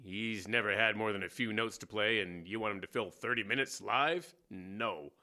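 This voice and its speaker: An actor playing a cynical, weary boss of a 1940s radio network, speaking in a wry, blunt way in an audio drama. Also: male voice, on the low side, normal speed, bright, forceful tone. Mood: blunt, commanding. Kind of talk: audio drama acting, period radio dialogue.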